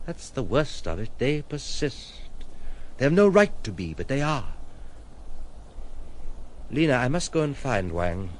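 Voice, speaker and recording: Man playing a troubled gentleman with swooping delivery. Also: medium pitch, slight background noise, natural voice, rough recording. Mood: brooding, dramatic. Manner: articulate, formal, theatrical phrasing. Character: troubled, principled gentleman, articulate, brooding and protective. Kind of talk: audio drama acting.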